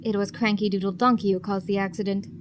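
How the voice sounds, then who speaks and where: A woman's voice: medium pitch, steady tone, quick pace, a little hiss or hum, lightly acted. Woman acting a stern, formal madam. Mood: matter-of-fact reporting. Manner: formal, reproachful, dry. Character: stern, dry, composed female pony madam. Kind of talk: audio drama acting.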